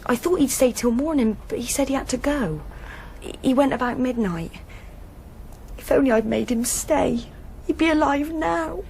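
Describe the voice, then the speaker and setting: A plain-spoken young hairdresser in a radio drama, full of grief. Her voice is high and girlish, on a slightly noisy recording. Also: moderate pace, gravelly, a little mellow. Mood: sad.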